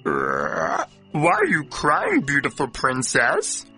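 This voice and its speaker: A man voicing a small, pleading and pushy talking frog in a children's cartoon fairy tale, with a raspy voice and exaggerated delivery. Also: medium pitch, wildly swooping pitch, slow pace, bright, forceful tone, faint music behind, heavily acted. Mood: coaxing.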